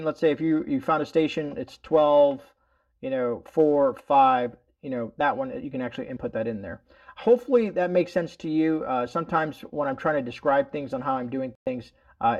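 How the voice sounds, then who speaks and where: A man's voice: mid-range voice, a little mellow, highs cut off. A man hosting a casual product demonstration indoors at home, explaining things in a rambling, folksy way. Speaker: host of a product review video.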